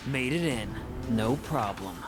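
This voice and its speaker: An actor playing a hopeful, sincere young soldier hero in a video game. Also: male voice, medium pitch, lively intonation, measured pace, loud music behind the voice, natural voice. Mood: confident. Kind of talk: video game cutscene acting.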